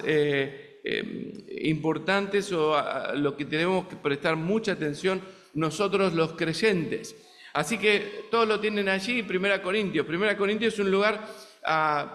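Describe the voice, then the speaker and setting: A man's voice: sits high, fairly bright tone, in a large hall. A man with an Argentine accent, preaching a sermon as a guest preacher in a conversational way, often turning to the congregation with rhetorical questions. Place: church sanctuary in the United States.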